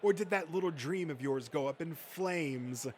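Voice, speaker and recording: A man's voice: on the high side, moderate pace, darkish tone, natural voice. Man playing a smug comic nerd, noisy recording. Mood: sarcastic. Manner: smug, self-important, swearing rant. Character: Josh, boastful, thin-skinned male comic-book nerd scheming for success. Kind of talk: amateur comic-book dub acting.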